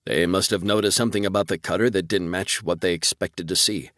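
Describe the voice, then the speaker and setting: A man's voice: sits low, steady tone, brisk, fairly bright tone, no room sound, clean studio sound. A man voicing a wry, weary male space fleet officer in a sci-fi audiobook. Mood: measured. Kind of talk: audiobook character dialogue.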